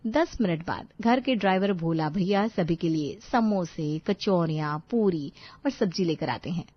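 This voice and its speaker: A woman's voice: lowish voice, animated, unhurried, dry recording, muffled recording. A woman reading scripted bedtime story narration as the storyteller.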